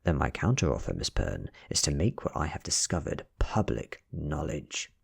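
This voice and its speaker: A British man voicing a nervous, scheming male investigator in a soft ASMR storybook reading, breathy, uneasy and self-important. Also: rumbling low, big pitch swings, moderate pace, exaggerated voice.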